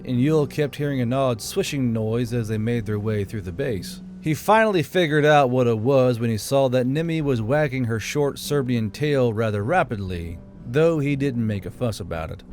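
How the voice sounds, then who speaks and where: Male narrator, medium pitch, scripted fantasy storytelling for an audiobook. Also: a little mellow.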